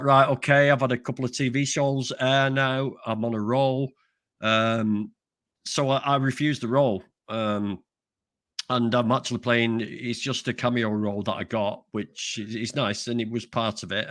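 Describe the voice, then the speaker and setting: A man's voice: medium pitch, fairly even intonation, normal speed, fairly bright tone, no echo, clean studio sound. Rambling older man, casual chat. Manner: rambling, hesitant, lots of ums. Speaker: host.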